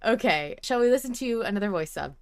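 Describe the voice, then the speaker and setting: A woman's voice: medium pitch, expressive, fast, darkish tone, clear, resonant tone, dry recording, clean studio sound. A woman hosting a casual fan podcast, speaking in an emphatic, mock-dramatic way. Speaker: podcast host.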